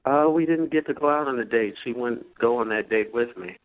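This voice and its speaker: A man's voice: medium pitch, a little fast. A self-assured, boastful man chatting casually as a caller to a radio show over the telephone. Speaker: phone-in guest on a radio show. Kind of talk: casual phone chat. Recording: thin narrowband phone line.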